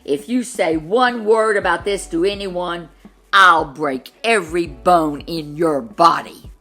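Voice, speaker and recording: A woman's voice: lowish voice, takes it slowly, sharp tone, exaggerated voice. A woman voicing a big male dinosaur bully, menacing and boastful, in a storybook reading.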